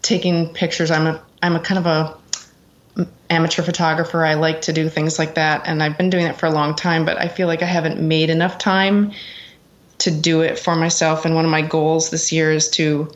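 A woman's voice: sits low, flat delivery, normal speed. A middle-aged American woman giving earnest, reflective answers as an interview guest in a conversational way. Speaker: interview guest, executive assistant. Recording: dull, lo-fi sound.

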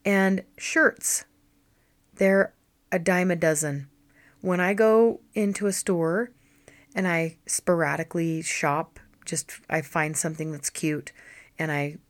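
A woman hosting her own style-coaching podcast, her voice hoarse and deep from allergies, chatting and encouraging listeners directly. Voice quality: deep, low voice. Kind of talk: solo podcast talk.

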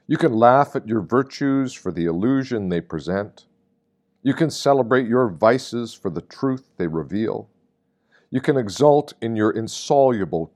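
A man with a fairly deep voice reads a scripted spiritual meditation. His delivery is very unhurried and solemn. He gives measured weight to each short phrase. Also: a little mellow. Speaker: reader of meditations. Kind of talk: reflective scripted reading of a devotional meditation.

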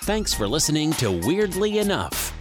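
A man's voice: a little high, big pitch swings, normal speed, music in the background. A man reading a scripted podcast outro in an upbeat announcer manner. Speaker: show announcer.

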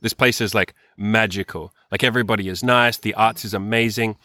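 A man's voice: lowish voice, fairly even intonation, a little fast, sharp tone, no echo, studio quality. A man with an Australian accent hosting a podcast, chatting casually with a loose, sweary, filler-heavy way of talking. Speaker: podcast host. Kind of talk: casual chat.

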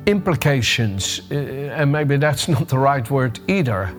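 A man's voice: medium pitch, animated, unhurried, faint music behind. An older man with a Dutch accent tinged with American, giving a conversational devotional sermon, often restarting and searching for words. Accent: Dutch with a bit of American. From Netherlands. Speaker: preacher.

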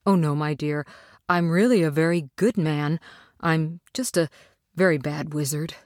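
A woman's voice: low-pitched, a little slow, darkish tone, no echo, studio quality. A woman voicing a kindly, sheepish little old man, a fraudulent storybook wizard who speaks apologetically. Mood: apologetic. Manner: stuttering, sorrowful.

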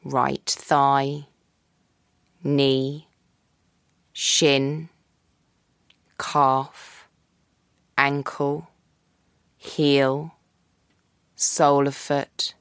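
A woman guiding a yoga nidra practice in a slow, soft, calm voice with long pauses. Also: a bass voice, smooth, dry recording, studio quality. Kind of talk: guided meditation.

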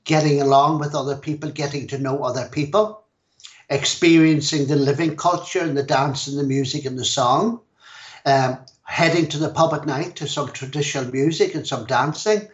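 A middle-aged man with an Irish accent, a language school director, explaining things in a measured way in a podcast interview. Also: a little high, steady tone, dull audio. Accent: Irish, Donegal. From Ireland.

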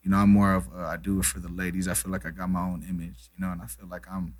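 A man's voice: low-pitched, flat delivery, racing. A man in his thirties from Brazil, a native Portuguese speaker, chatting casually as a guest musician, laid-back and full of "you know" and "man". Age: 30s. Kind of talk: casual interview chat.

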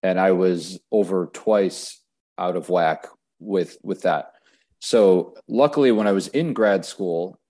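A young man from Wisconsin, a guest on a podcast, explaining his story in a measured way with a few restarts. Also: low-pitched, a little mellow. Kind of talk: casual podcast interview. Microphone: remote call.

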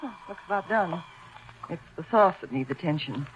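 A woman's voice: on the low side, lively intonation, normal speed, lightly acted. A woman acting a composed, politely fussy housewife with a hostess manner, in 1940s radio style. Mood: polite, fussing. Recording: muffled, noisy vintage radio recording.

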